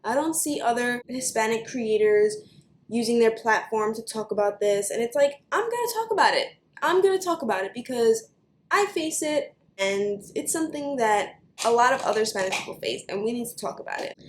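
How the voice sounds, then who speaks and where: A young woman with a New York accent, ranting to camera for her vlog in an animated, chatty way with plenty of "like". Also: on the high side, reverberant, slight background noise. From United States. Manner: animated, fast, chatty with many likes. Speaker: beauty vlogger.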